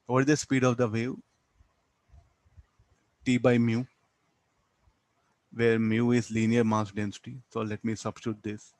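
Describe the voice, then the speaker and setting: Indian guy teaching physics class, mid pitch, asks questions then answers them, pretty dry recording. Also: flat delivery, measured pace, long pauses, darkish tone, no room sound. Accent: Indian English. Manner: didactic, asks and answers own questions, tags statements with "no?". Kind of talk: classroom physics lecture, working through a problem.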